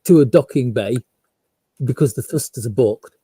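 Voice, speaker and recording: A man's voice: mid-range voice, moderate pace, dark tone, slightly rough, no echo. A man playing a tabletop roleplaying game, reasoning aloud in casual discussion and rephrasing himself as he goes.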